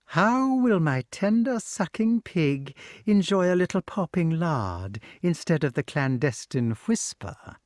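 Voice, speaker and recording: A storyteller voicing a gloating old witch, crooning with mock sweetness and menace in exclamatory, drawn-out words. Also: medium pitch, very animated, darkish tone, no echo, clean studio sound, pitched above the performer's own voice. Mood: gloating relish.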